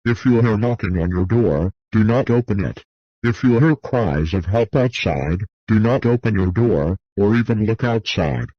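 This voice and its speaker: A man reads a scripted emergency broadcast announcement in a formal, measured manner, as an alert announcer. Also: lowish voice, expressive, dry recording, highs cut off.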